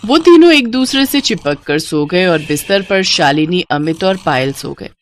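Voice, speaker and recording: A woman's voice: on the low side, expressive, a little slow, slight background noise. A woman narrating a scripted children's moral story as storyteller.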